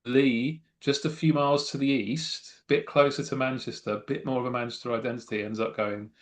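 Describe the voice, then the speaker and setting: A man's voice: mid-range voice, steady tone, fairly quick. Middle-aged man, wry political analyst. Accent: non-Mancunian English. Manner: thoughtful, wry, many restarts and fillers. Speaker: political scientist guest. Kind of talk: conversational expert analysis.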